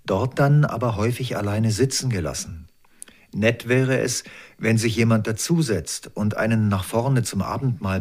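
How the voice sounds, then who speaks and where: A man reading a church news report in an even, unhurried scripted narration. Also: mid-range voice. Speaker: news reader.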